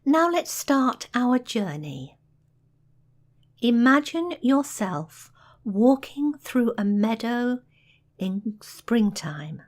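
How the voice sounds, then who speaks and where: A woman narrates a guided meditation at a very unhurried pace. Her voice sits a little high, and her delivery is soft and soothing, with measured pauses between phrases. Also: big pitch swings.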